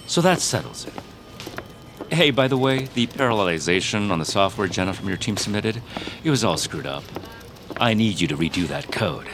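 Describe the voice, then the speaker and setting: An actor playing a self-important, manipulative tech CEO, smoothly condescending with theatrical pauses, in an audio drama. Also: male voice, on the low side, expressive, noisy background. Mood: pompous, chummy.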